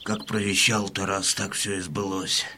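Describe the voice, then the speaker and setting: A man's voice: deep voice, flat delivery, moderate pace, pushed and bright, slight background noise. An actor playing a sorrowful wounded Cossack who tells his tale solemnly and dramatically in an audiobook drama.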